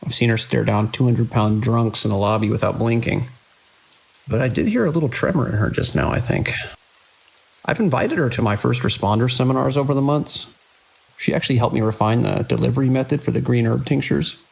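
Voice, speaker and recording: Gravelly, husky hospital director played by a man. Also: medium pitch, brisk, a little mellow, low-quality recording. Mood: grave, concerned. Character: weary, dedicated male hospital director under mounting strain. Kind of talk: audio drama acting, recorded log entries and phone call.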